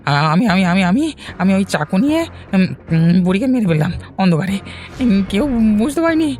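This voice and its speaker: Sleazy village crook, swooping animated pitch, exaggerated cartoon melodrama, music behind. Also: male voice, moderate pace. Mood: whimpering confession. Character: greedy, lecherous male village villain, cowardly when caught.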